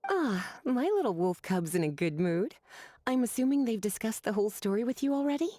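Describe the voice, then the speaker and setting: A woman voicing a poised, affectionate, teasing teacher in a video game, with a breathy voice. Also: fairly high pitch, sing-song, fairly quick, dark tone, no echo, studio quality. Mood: teasing, gentle.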